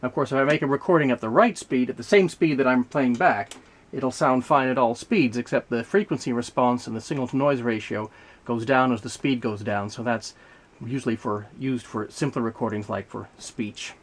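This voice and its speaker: A man giving an unscripted, hands-on technical demonstration as a video host, explaining things in a measured, methodical way. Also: mid-range voice. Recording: clear background noise, mechanical clicks.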